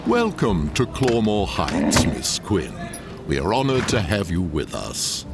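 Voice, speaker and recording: A man's voice: huge pitch swings, slow, full character voice. A man playing a stiff, formal head manservant in a gothic audio drama, deferential and precise. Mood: formal, welcoming. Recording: noisy sound-effect backdrop with rumble.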